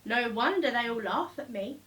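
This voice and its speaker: A woman puts on a patchwork elephant from a children's storybook, a joker who feels self-conscious. The delivery is expressive and raised in pitch. It is heard from a little way off the microphone. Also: moderate pace, far from the mic, rough recording. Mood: self-doubting.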